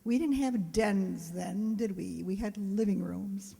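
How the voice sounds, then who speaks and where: An older woman introduces a radio theatre show in a prepared, measured address. Her voice is mellow and medium in pitch, with long hall reverb around it. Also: gravelly, slightly rough. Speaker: presenter of a radio players' show.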